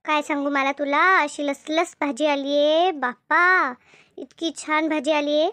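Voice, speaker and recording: A woman's voice: high voice, steady tone, measured pace, pushed and bright, no echo. A woman playing a busy, talkative village housewife in a comedy cartoon, chatting colloquially with a rural Maharashtrian accent. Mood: explaining. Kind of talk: animated comedy cartoon dialogue.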